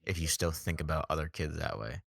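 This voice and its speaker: A man's voice: extremely low pitch, fairly quick, vocal fry, dry recording, clean studio sound. A young man co-hosting a podcast, musing in laid-back casual chat. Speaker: podcast co-host.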